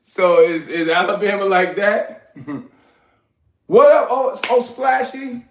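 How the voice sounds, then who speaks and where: A man, hosting a casual livestream, giving hyped shout-outs to viewers and rambling in streetwise slang. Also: high-pitched, animated, takes it slowly, gravelly, slight background noise. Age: 40s. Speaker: livestream host. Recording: echoey room.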